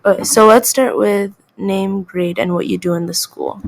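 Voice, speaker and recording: A teenage girl runs a student podcast, asking short, easy questions in a middle-pitched voice. Her tone has a gravelly fry at its base. A faint hiss runs under the recording. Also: steady tone, moderate pace, poor audio. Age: teens. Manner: short friendly prompts. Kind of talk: casual interview questions.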